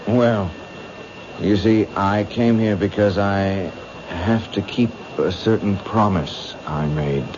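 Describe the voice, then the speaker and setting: Menacing young male killer, very low voice, halting slangy talk, old radio thriller, noisy dull recording. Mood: quietly menacing. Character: menacing, hesitant young man, a killer with a promise. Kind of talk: 1970s radio drama acting.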